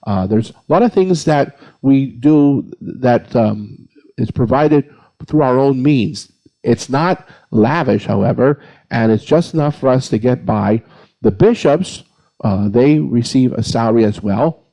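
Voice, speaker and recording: A man's voice: medium pitch, expressive, a little slow, warm tone, rough recording. A man speaking as a priest on a panel, giving an informal, plain-spoken explanation point by point. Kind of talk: informal explanatory answer to a question.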